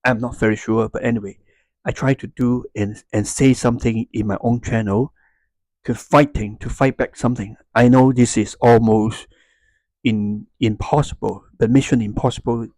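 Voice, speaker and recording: A man in his fifties from Hong Kong, a native Cantonese speaker with a Hong Kong Cantonese accent, talks casually to viewers, haltingly searching for words. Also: mid-range voice, steady tone, darkish tone, no echo. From Hong Kong and Macau. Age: 50s. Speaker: YouTuber. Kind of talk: casual talk to viewers, unscripted.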